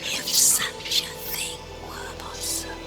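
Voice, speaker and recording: An actor playing an eerie otherworldly oracle spirit in a video game, with drawn-out, breathy whispering. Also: natural voice. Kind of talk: video game cutscene acting. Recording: loud cinematic music behind.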